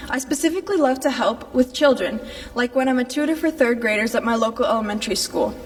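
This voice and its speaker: A woman's voice: on the high side, far from the mic. A teenage girl born in Sweden, giving an earnest prepared speech as a candidate. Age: teens. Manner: earnest, steady recited delivery. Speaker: Lucia court candidate. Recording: echoey hall, heard from afar.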